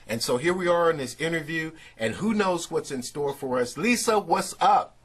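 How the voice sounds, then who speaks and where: A man's voice: a little high, animated, moderate pace, husky. A man hosting an online interview over a video call, talking in an enthusiastic, effusive way. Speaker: interview host.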